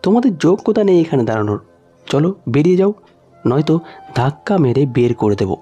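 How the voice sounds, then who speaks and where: Storybook villain: haughty, short-tempered male shop owner read by a man, sneering, lively, music behind. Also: a little high, fairly quick, husky, muffled recording. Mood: angry contempt. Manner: sneering, contemptuous.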